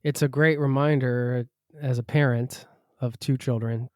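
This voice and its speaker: A man's voice: medium pitch, fairly even intonation, a little slow, dry recording, clean studio sound. A man hosting a podcast who asks curious, chatty questions. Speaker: podcast host. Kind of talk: casual interview chat.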